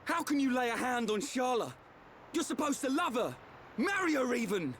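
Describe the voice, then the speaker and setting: An actor playing an earnest, fierce young hero in a dramatic video game scene, protective of friends. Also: male voice, extremely high pitch, very animated, normal speed, a bit bright, a little hiss or hum, exaggerated voice. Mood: outraged. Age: young adult.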